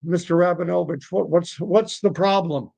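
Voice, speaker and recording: A harried deli owner, acted out by a male joke teller, with a raised, creaky voice. He sounds exasperated yet placating. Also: sits high, normal speed, a little mellow, voice pushed up.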